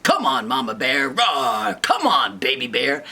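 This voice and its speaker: A woman voicing a stern, gruff father bear in a fairy tale, commanding and emphatic. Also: rumbling low, wildly swooping pitch, unhurried, sharp tone, pitched below the performer's own voice, exaggerated voice. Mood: roaring, commanding.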